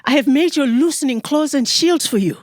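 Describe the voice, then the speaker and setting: An earnest, devoted young sister from an African folktale, as a woman storyteller performs her. Her voice is lifted in pitch and carries a storyteller's careful phrasing. The sound is dry and close. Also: lively intonation, fairly quick, no room sound. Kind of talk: storytelling, partly sung or chanted.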